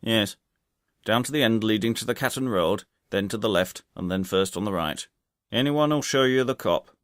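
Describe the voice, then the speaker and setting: A man voicing a blunt, hot-tempered Victorian pub landlord in an audiobook, with gruff, colloquial speech. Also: on the low side, normal speed, a bit bright, no room sound, clean studio sound, lightly acted. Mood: blunt, helpful.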